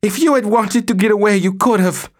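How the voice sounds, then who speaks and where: A man voicing an enraged, jealous wife with a shrill, sped-up voice. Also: close to an everyday voice. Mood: furious. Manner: screeching, fast.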